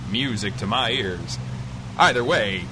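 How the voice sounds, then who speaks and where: An actor playing a smooth-talking, daring pilot adventurer in a radio drama, wry, courteous and coolly ruthless. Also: male voice, on the low side, expressive, measured pace, background noise. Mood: smooth, reassuring. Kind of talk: 1930s-set radio adventure acting.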